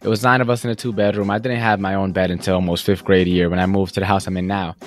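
A young man in his twenties, a guest entrepreneur, telling his story in an interview in an earnest, fast-flowing way with many "you know"s and "right?"s. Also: low-pitched, flat delivery. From United States. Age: young adult.

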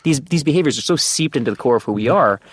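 A man from New Jersey with a New Jersey accent, a guest on a casual podcast conversation, talking in animated, energetic bursts. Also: mid-range voice, quick pace, slightly rough, no room sound, clean studio sound. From New Jersey, USA. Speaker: guest, startup team member.

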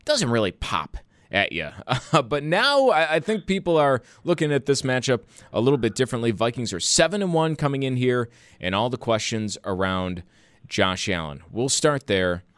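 A man's voice: medium pitch, very animated, moderate pace, a bit bright, clear, resonant tone, no room sound. A man hosting radio news in a conversational style, close on a studio microphone.

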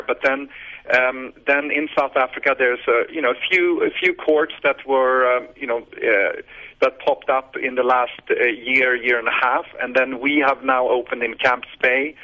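A man's voice: medium pitch, steady tone, normal speed, muffled recording. A man from Sweden with a Swedish accent, answering radio interview questions in a hesitant way, full of fillers and restarts. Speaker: guest, sports businessman.

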